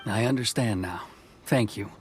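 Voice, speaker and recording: An actor playing a stern, duty-bound military officer in a video game, formal and restrained, with a hidden soft heart. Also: male voice, lowish voice, moderate pace, faint music behind, lightly acted. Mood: softened, reflective.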